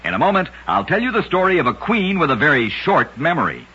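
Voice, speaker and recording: A man narrating short, strange tales as a radio host, reading a script with dramatic, deliberate delivery on an old recording. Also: a little high, sing-song, pushed and bright, slightly rough. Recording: dull vintage radio recording.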